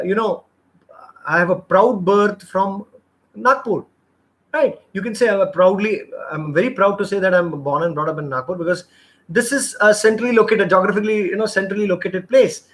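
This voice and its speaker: A middle-aged man, coaching job seekers in a live session, earnest and rambling, with many restarts and fillers. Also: high-pitched. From India. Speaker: interview trainer.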